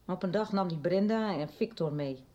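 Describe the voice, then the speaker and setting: A middle-aged woman playing a guarded, worried mother in a radio soap, speaking in a hesitant, halting way. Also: fairly low pitch, dark tone, highs cut off, natural voice. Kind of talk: radio drama acting.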